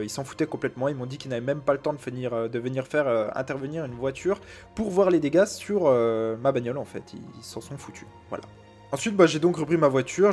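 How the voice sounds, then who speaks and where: A young man from France tells his story quickly and casually. His speech is loose and full of fillers, with faint music playing under it. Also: medium pitch. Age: 20s. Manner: casual, frequent "euh" and "bah" fillers. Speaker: YouTuber. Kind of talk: personal storytelling vlog.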